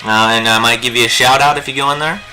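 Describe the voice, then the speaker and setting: A man's voice: mid-range voice, steady tone, fast, pushed and bright, faint music behind, rough recording. A young man hosting a live painting stream, chatting in a rambling, jokey way while he works. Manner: rambling and jokey, lots of fillers. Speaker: livestream host teaching digital painting.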